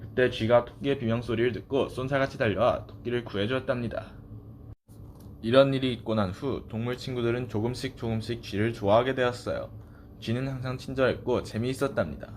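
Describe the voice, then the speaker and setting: A man's voice: mid-range voice, fairly even intonation, fast. Male storyteller, mid-range voice, children's picture-book narration, some background noise. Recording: clearly noisy background.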